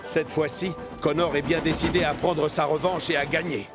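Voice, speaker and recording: A man narrating a French children's cartoon as an expressive storyteller. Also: on the high side, normal speed, over loud music, close to an everyday voice.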